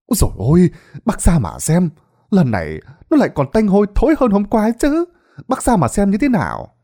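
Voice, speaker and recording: A man voicing a male villager who rushes in, calling out breathless and urgent. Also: high voice, huge pitch swings, warm tone, voice pushed up, exaggerated voice. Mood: alarmed. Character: breathless male villager bringing bad news.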